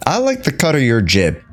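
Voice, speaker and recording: Animated, slow-paced male game streamer. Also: mid-range voice. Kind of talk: live playthrough commentary.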